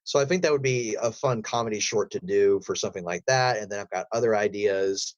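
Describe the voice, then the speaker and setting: A man's voice: on the low side, a little fast, no room sound. Man chatting in a very dry recording. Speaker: voice actor, podcast guest. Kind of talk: casual interview chat.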